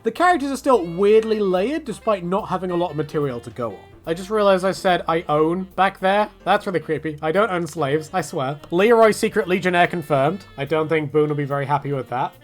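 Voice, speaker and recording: A man's voice: high voice, expressive, a little fast, few pauses. A man giving a casual, opinionated rant in a rambling, tongue-in-cheek way, often interrupting himself. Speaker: host of a game-character rant video. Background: music behind the voice.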